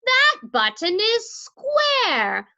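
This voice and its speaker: Woman as grumpy storybook toad, high-pitched, wailing, emphatic, swooping intonation. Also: wildly swooping pitch, very slow, bright, forceful tone, muffled recording, exaggerated voice. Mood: furious, wailing. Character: grumpy, easily upset male toad, wailing over lost button. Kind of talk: children's storybook character voice.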